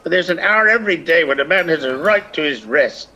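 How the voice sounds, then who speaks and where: A man's voice: fairly high pitch, lively intonation, brisk, pushed and bright, exaggerated voice, poor audio. An actor playing a ragged, lazy older peasant man who grumbles and needles in a lilting, theatrical radio play style. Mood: whining protest.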